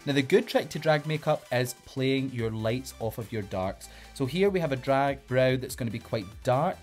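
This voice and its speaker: A man's voice: mid-range voice, animated, moderate pace, faint music behind. A man with a Glaswegian Scottish accent, a drag queen hosting a makeup tutorial in an upbeat, playful way and giving step-by-step instructions. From Glasgow, Scotland.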